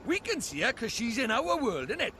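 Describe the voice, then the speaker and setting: A man voicing a chatty, boastful little Welsh fairy sidekick in an animated video game, huffy and colloquial. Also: extremely high pitch, a little fast, slight background noise, full character voice. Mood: smug explaining. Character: chatty, exasperated little fairy sidekick who thinks himself wise.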